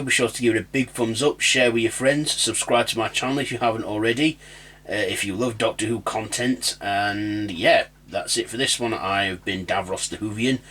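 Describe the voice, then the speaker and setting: Male reviewer, mid-pitched and a little husky, enthusiastic and rambling, off-the-cuff fan channel delivery. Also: a bit bright. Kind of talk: casual, unscripted audio drama review.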